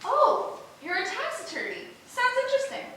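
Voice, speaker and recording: A woman's voice: very high voice, wildly swooping pitch, normal speed, sharp tone, full character voice. A woman playing a vain, ditzy young actress with bubbly, emphatic chatter in a stage play rehearsal. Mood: eager, bubbly. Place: echoey indoor rehearsal room. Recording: very echoey room, distant mic, faint noise.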